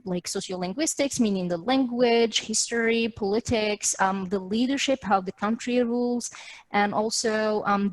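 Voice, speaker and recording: A woman's voice: mid-range voice, dry recording, poor audio. A young adult woman from Morocco, presenting research in an explanatory way, hesitating and rewording as she goes. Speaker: doctoral student presenting research. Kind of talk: online academic slide presentation. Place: virtual meeting, video call.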